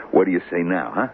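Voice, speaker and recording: An actor playing the devil as a sly, boastful deal-maker in a radio drama, theatrical and teasing. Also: male voice, sits low, gravelly, full character voice. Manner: theatrical, teasing, grandly self-important. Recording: tinny, hissy old radio recording.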